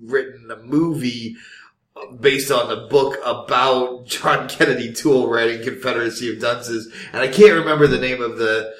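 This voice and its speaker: A man's voice: medium pitch, fairly even intonation, moderate pace. A man co-hosting a podcast in casual chat, rambling with fillers and restarts. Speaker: podcast co-host. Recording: roomy echo.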